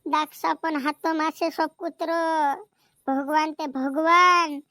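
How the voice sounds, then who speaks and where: Distraught cartoon villager played by a man, very high flat pitch, rural Madhya Pradesh accent, repetitive pleading. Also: a little slow, no room sound, studio quality, full character voice. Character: pleading, distraught rustic villager, friend of a patient. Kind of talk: amateur cartoon comedy acting.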